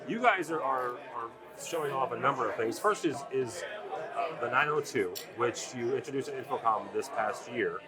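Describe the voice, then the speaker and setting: A man's voice: mid-range voice, lively intonation, normal speed. A man conducting a trade show interview as the interviewer, with folksy, informal phrasing. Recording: crowd chatter at busy event. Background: crowd at a sales meeting.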